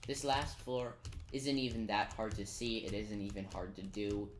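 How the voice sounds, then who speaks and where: A man's voice: on the low side, fairly even intonation, normal speed. A man giving a casual, step-by-step game walkthrough with playful asides. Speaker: gamer giving a tutorial. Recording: roomy echo, noise, keyboard clicking. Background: computer keyboard typing and clicks.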